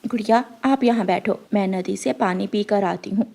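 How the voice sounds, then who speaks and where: A woman's voice: medium pitch, moderate pace, gravelly, warm tone. A woman voicing a scheming, cold stepmother bird who talks with conniving sweetness in a cartoon tale. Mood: falsely sweet.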